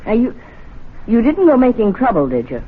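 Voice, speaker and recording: An actress playing a nagging, superstitious older wife in a 1970s radio drama, scolding and dryly foreboding. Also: female voice, on the high side, big pitch swings, moderate pace. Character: nagging, wary, superstitious wife of an old servant. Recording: lo-fi, noisy old radio broadcast.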